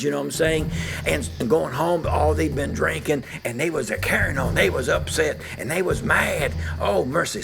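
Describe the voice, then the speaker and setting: An older man, gravelly and a little high in pitch, spinning a folksy story from his youth. He talks in an animated way with frequent chuckles, and music plays beneath him. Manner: animated, laughing often, repeats "you know what I'm saying". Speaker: storyteller, preacher. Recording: music bed underneath.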